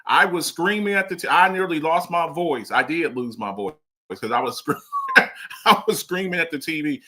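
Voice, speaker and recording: A man on a sports talk show debates football players with a bright, fairly high voice. He talks in an animated way, repeating himself and pressing with pointed questions.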